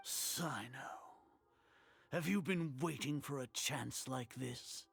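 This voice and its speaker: A proud older grand sage turned villain in an anime game. The voice is medium in pitch and rough-edged, husky, with formal, haughty phrasing. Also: male voice, slow, fairly bright tone, full character voice. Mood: bitter. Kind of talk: dramatic anime game voice acting.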